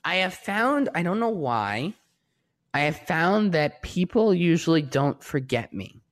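A man whose voice sits unusually high for a guy. He talks in a shy, meandering way, circling back on his sentences with lots of restarts and fillers. The tone is loose, casual conversation. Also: sing-song, measured pace. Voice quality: distinctly high-pitched voice.

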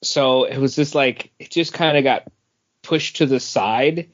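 Unhurried man, slightly dull lo-fi sound. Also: medium pitch. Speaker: podcast co-host. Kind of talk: casual chat about entertainment news. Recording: dull, lo-fi podcast audio.

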